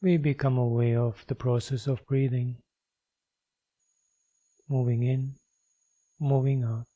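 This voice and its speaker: A man guides a meditation in a very dark, mellow voice at a middling pitch. He speaks very slowly and leaves long pauses between phrases. His delivery is measured and instructional, as a teacher leading practice. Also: flat delivery.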